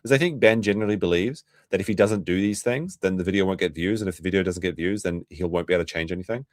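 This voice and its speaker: A man's voice: deep voice, fast, no echo, clean studio sound. A man hosting a late-night livestream, rambling in an offhand way and restarting his sentences. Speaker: streamer host.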